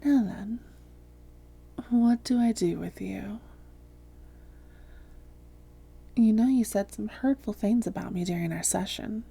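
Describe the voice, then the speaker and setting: A woman playing a haughty, seductive vampire hypnotist, breathy and often whispering, with a smug, teasing, slow and coaxing delivery in an intimate roleplay audio. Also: mid-range voice, mellow. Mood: haughty, amused. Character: haughty, seductive female vampire hypnotist toying with a captured hunter.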